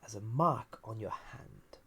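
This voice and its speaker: A very husky, heavily creaking villain played by a man, banished to a dream world and lonely after ages there. He speaks low and very slowly, half-whispering his teasing, dangerous flirtation in an intimate audio drama. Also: expressive, bright, forceful tone, heavily acted. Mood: urgent, intent. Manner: teasing, languid, drawn-out pauses.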